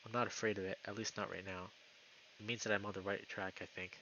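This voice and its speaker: A man's voice: fairly low pitch, level tone, fast, bright, forceful tone, dull audio, a little hiss or hum. A man reading a visual novel aloud as a streamer, in dramatic first-person narration.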